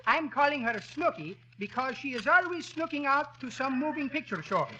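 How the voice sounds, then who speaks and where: A cheerful Greek immigrant character from old radio comedy, high in pitch and thickly accented. He muddles his words and grammar happily. The recording is noisy and crackles with age. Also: animated, normal speed, dull audio. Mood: cheerful, chatty. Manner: malapropisms and mangled grammar. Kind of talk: 1930s radio comedy acting.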